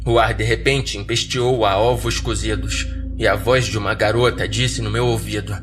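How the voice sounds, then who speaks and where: A man's voice: on the low side, fairly even intonation, moderate pace, a bit bright. A man with a Brazilian accent reading scripted first-person horror fiction as a podcast narrator. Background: music bed.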